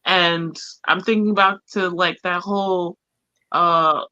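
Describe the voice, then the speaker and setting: Bright-voiced woman, wry and informal, dull audio. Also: fairly low pitch, fairly even intonation, slow pace, clear, resonant tone, dry recording. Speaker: book discussion panelist. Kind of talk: casual book chat. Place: online group live stream.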